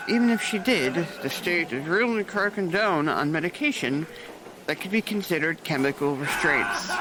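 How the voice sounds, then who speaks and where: An actor playing a jaded male nurse supervisor who talks with calm, dry gallows humor. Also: sits high. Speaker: charge nurse. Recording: noisy background.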